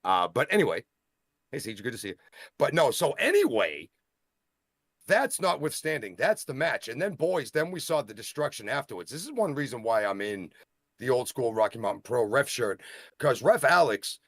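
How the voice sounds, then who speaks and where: A man's voice: mid-range voice, normal speed, dry recording. Boston guy, wrestling show co-host, rambles and restarts, dry close sound. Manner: rambling, self-interrupting, excitable. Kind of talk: casual wrestling review chat.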